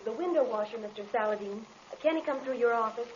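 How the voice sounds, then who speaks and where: An actress playing a timid, deferential young office assistant in an old radio drama, speaking hesitantly. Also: female voice, a little high, fast, close to an everyday voice. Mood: polite, deferential. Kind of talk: 1930s-40s radio drama acting. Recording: muffled, noisy old radio recording.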